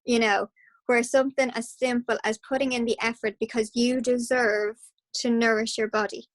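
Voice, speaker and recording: Passionate Irish woman, slightly high voice. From Ireland. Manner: passionate and animated, with quick restarts. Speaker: guest hypnotherapist. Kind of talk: casual interview talk.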